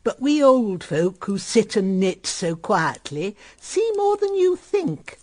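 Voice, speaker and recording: An actress playing a gentle, shrewd elderly grandmother in a period radio drama, speaking in a tender, reflective and knowing manner. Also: medium pitch, very animated, takes it slowly, dry recording. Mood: gently probing. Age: older.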